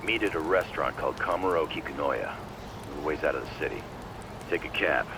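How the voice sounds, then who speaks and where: An actor playing a gruff gangster in a video game, giving curt, clipped instructions. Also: male voice, a bass voice, expressive, brisk, exaggerated voice. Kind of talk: video game cutscene acting. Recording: noisy urban background.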